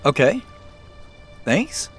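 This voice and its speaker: An actor playing a polite, unsure man in an audio drama, speaking in a halting, low-key way. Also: very animated, fairly bright tone, breathy edge, soft background music, exaggerated voice. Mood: polite, guarded. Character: hesitant, polite man out exploring, privately unsure. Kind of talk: naturalistic audio drama acting.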